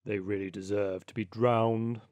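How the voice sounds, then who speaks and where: A man's voice: fairly low pitch, little pitch movement, a little slow, darkish tone, no echo, voice pushed down, lightly acted. A man voicing a pompous, selfish old male water rat in a storybook reading, haughty and self-satisfied.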